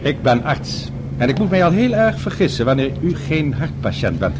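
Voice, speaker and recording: A man acting a smooth-talking, courteous older doctor in a Dutch radio drama, formal and solicitous. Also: fairly high pitch, sing-song, normal speed. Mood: polite concern. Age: middle-aged or older. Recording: old radio drama with clear background noise.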